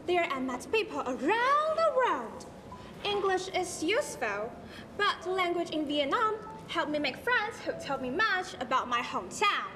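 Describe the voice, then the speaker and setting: A woman's voice: very high-pitched, sing-song, unhurried, pushed and bright, exaggerated voice. A teenage girl with a Taiwanese accent playing an eager, questioning teenage son in a school stage play. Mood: earnest. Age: teens. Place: large school auditorium stage. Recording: echoey hall with music behind.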